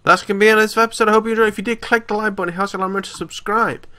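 A man's voice: sits high, fairly even intonation, rapid-fire, sharp tone. A man giving casual, joking let's play commentary on a video game, blurting out reactions. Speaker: gaming let's player. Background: video game audio and music.

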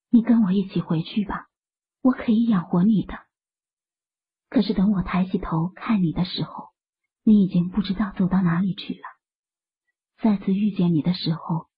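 A woman playing a sweet, tender young wife who speaks coyly and softly to her husband in an audiobook drama. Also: medium pitch, fairly even intonation, moderate pace, pauses often, breathy edge. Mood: wistful. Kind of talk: acted audiobook dialogue. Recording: muffled, band-limited lo-fi audio.

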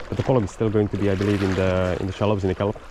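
A man's voice: fairly low pitch, little pitch movement, very fast. A man in his forties talking casually to camera while fishing from coastal rocks, chuckling between remarks, recorded on an action camera. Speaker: vlogger, angler. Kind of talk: casual outdoor vlog commentary. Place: outdoors on coastal rocks by the sea. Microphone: action camera on a chest mount and handheld. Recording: outdoor noise from wind and water.

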